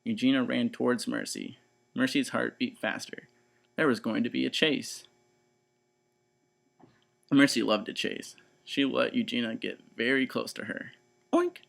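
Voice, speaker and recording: A man reading a children's story aloud in expressive, scripted narration. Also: medium pitch, unhurried, vocal fry, pauses often.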